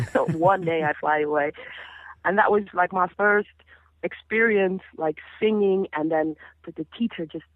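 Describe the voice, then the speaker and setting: A woman's voice: lowish voice, measured pace, no room sound. A woman in her thirties from the Caribbean whose first language is Papiamento, telling stories animatedly with many restarts, heard over a phone line. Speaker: singer, interview guest. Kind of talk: casual interview chat.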